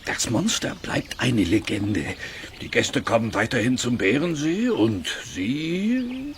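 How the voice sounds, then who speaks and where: A man's voice: mid-range voice, big pitch swings, slow, slight background noise. A man playing a sheepish, scheming but genial innkeeper in a children's audio play, hesitant and wheedling. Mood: conspiratorial, genial. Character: sheepish, scheming male innkeeper, jovially persuasive. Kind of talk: German children's radio play acting.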